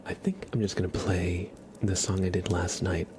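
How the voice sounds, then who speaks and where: A man hosting an ASMR livestream, chatting casually to viewers in a soft, breathy, gentle whisper close to the microphone. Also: deep voice, little pitch movement, moderate pace, background noise.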